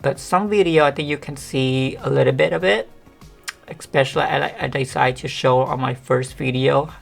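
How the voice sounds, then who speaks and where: A woman vlogger chatting casually to the camera with a monotone, deep voice that sometimes cracks, rambling with many fillers. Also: moderate pace, creaky, soft background music. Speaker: beauty vlogger.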